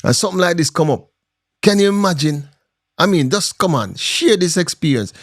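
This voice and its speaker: A man's voice: sits high, animated, normal speed, no echo. Emphatic Jamaican man, dry sound. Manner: emphatic, rhetorical. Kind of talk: casual commentary to camera.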